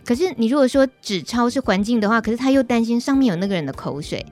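A woman's voice: on the high side, expressive, quick pace, a little mellow. Taiwanese woman hosting, music behind. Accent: Taiwanese Mandarin. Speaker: radio show host. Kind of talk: casual interview chat.

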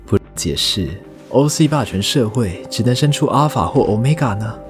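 Guy doing a thoughtful professor in an audio drama, lowish voice that moves around a lot. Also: expressive, music in the background, natural voice. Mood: curious, engaged. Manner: measured, scholarly, pondering. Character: thoughtful, courteous male university professor.

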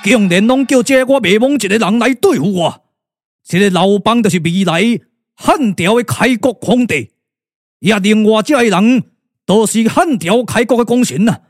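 A man's voice: high voice, normal speed, no echo, clean studio sound. A man voicing a proud old immortal sage in a Taiwanese puppet radio play, theatrical and exclamatory, with a Taiwanese accent. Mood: outraged. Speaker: puppet theatre narrator and voice performer. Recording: music under the voice.

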